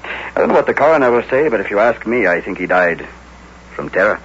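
A sceptical, reassuring police investigator in an old-time radio mystery. His blunt lines swing widely in pitch, over a dull recording with faint hiss. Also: lively intonation, fast, a bit bright. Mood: grave. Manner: matter-of-fact, sceptical.

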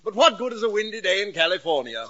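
An actor playing an excitable, roguish blowhard sidekick in a pompous, flowery 1940s radio comedy manner. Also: male voice, high voice, fast, very noisy. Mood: jovial, roguish. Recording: dull vintage radio recording.

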